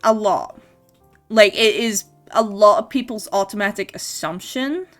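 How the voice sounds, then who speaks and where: A young woman with a mixed, mostly Canadian-sounding accent, talking slowly and loosely while she draws on stream. She rambles with frequent ums and small restarts and often laughs. Faint music plays behind her voice. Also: mid-range voice, a bit bright. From Scotland. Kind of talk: casual chat while drawing on stream.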